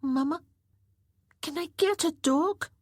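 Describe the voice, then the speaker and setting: Woman putting on a little boy, high and bouncy, begging and excited, storybook style, dry close sound. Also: slow, no room sound. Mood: pleading. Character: eager little Scottish boy, excited and sweet.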